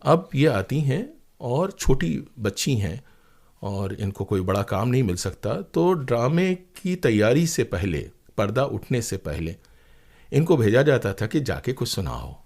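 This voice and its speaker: A man with an Indian accent talking as an interview guest, telling stories in an animated, emphatic, conversational way. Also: medium pitch, measured pace. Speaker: interview guest, broadcaster and playwright.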